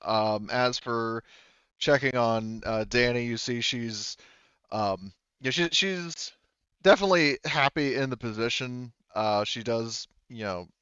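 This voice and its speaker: A man's voice: medium pitch, measured pace, bright, forceful tone, smooth, no echo. Man, game master, conversational delivery, clean resonant voice, dry slightly lo-fi recording. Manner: conversational, informal, occasionally swearing. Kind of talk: casual tabletop game narration.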